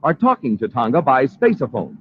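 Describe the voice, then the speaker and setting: A man's voice: mid-range voice, big pitch swings, a little fast. A man with an American accent announcing and narrating in an emphatic, showy 1950s radio style. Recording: muffled, faintly hissy old radio.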